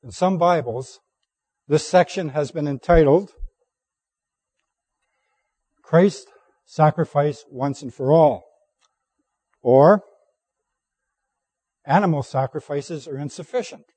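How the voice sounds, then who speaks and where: A hoarse man preaching a sermon, reading scripture aloud at a measured, deliberate pace. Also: fairly high pitch, warm tone, dry recording.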